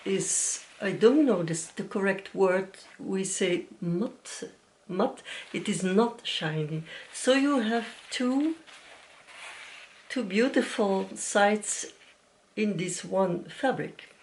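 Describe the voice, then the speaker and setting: A woman from Belgium speaks English with a Flemish Dutch accent, her first language being Dutch. She talks slowly and plainly in a mid-range voice, hosting a casual craft podcast. She sometimes pauses to hunt for the right English word. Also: mid-range voice, darkish tone, slight background noise. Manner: careful, searching for English words. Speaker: podcast host, piano teacher.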